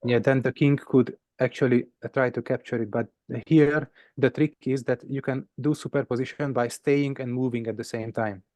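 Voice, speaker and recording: Mellow Hungarian-accented man explaining puzzles. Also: medium pitch, steady tone, normal speed, hardly any pauses, no room sound. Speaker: game teacher and presenter.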